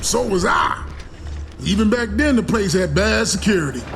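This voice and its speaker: A man's voice: high-pitched, expressive, normal speed, gravelly. A man voicing a brash young soldier and ex sports star in a video game, talking in a colloquial, swaggering way. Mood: wry, boastful. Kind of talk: video game voice acting, narrating and battle shouts. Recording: loud game music behind the voice.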